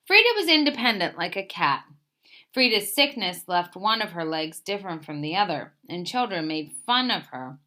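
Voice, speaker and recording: A woman's voice: fairly low pitch, very animated, normal speed, sharp tone. A woman reads a children's picture book aloud as a storyteller, expressively, lifting the openings of phrases and settling at sentence ends.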